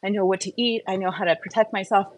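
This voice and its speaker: A woman speaks in a medium-pitched voice as the host of a live online wellness talk. She explains informally, addressing her viewers directly. Her delivery is conversational, moving step by step and posing questions that she then answers. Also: fairly even intonation, fast talker.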